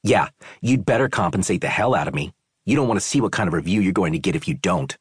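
A man's voice: sits low, expressive, fast talker, husky, no echo, studio quality, heavily acted. An actor playing a red-faced angry male tourist who bullies the hotel staff, talking in an indignant, blustering way. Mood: demanding.

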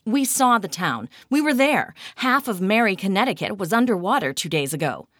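A woman voicing a brash, quick-tempered female TV renovation star who talks bluntly and sarcastically. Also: on the low side, big pitch swings, a bit bright, no room sound, studio quality. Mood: fiery.

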